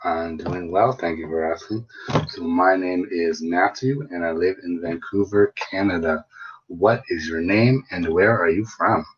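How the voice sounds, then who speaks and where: A man's voice: low voice, unhurried, slightly rough. A man tutoring English on a video call, patiently asking simple questions. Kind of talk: online English lesson conversation. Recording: dull lo-fi video call audio.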